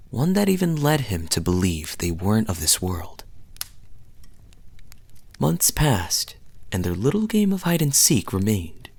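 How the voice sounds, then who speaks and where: A man playing a charming, teasing young fantasy knight in an intimate audio roleplay, telling stories to the listener in a soft, breathy voice. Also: on the low side, heavily acted. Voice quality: soft, husky, breathy at times. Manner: smooth-talking, teasing, unhurried storyteller with dramatic pauses.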